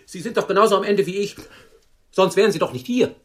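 An older actor playing a meek, lonely old widower in a radio play, awkwardly polite and hesitant. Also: male voice, high voice, fast talker, close to an everyday voice. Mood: hurt, defiant. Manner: hesitant, apologetic, formally polite.

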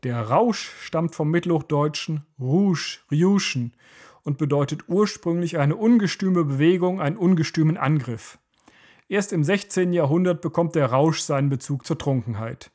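A man narrating a scripted radio essay in a measured, lecturing way. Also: fairly high pitch, expressive, warm tone.

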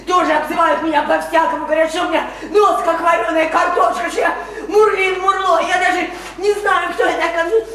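High-voiced naive, lonely young woman. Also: fairly even intonation, fairly quick, hardly any pauses, fairly bright tone. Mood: hurt insistence. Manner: plaintive, repetitive, childlike insistence. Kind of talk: theatrical Russian radio play acting. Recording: roomy, distant stage sound, faint noise.

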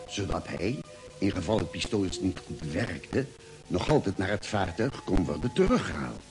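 An actor playing a spaceship doctor who narrates in a Dutch radio play, expressive and articulate. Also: male voice, on the low side, exaggerated voice, rough recording. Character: male spaceship doctor narrating his adventure. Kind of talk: scripted radio drama narration and dialogue. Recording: old radio drama with music.

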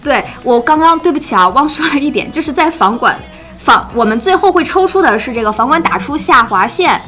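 A woman with a fairly high, clearly bright voice hosts a livestream. She chats casually and repeats herself as she moves things along, with faint music underneath. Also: expressive, fairly quick. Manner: chatty, repeats and rephrases as she steers. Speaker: livestream host. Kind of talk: live event hosting, casual chat.

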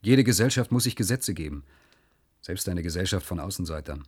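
An actor playing a stern, principled male outsider from a dystopian future in a science-fiction radio drama, speaking in a measured, deliberate way. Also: deep voice, lively intonation, darkish tone, slightly rough. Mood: stern, resolute.

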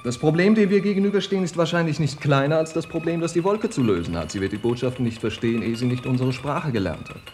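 An actor playing a sober, matter-of-fact technician reporting in a 1950s German radio drama. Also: male voice, a little high, fast, hardly any pauses, a little mellow, a little hiss or hum, natural voice. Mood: sober, concerned.

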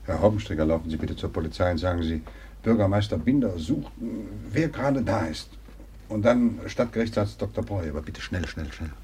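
An actor playing a brisk, commanding town mayor in a radio play, giving orders. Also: male voice, deep voice, animated, mellow, slight background noise.

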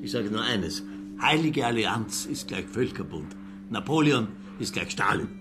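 A man's voice: on the low side, lively intonation, measured pace, over loud music. An actor playing a tipsy, pompous older Austrian man of letters in a radio drama, declaiming sentimentally with an Austrian accent. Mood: pompous, declamatory.

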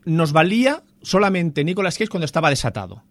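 A man's voice: a little high, big pitch swings, brisk, no room sound. A man chatting casually about a film he enjoyed, talking with enthusiasm and frequent restarts. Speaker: film reviewer on a radio show. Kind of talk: casual chat.

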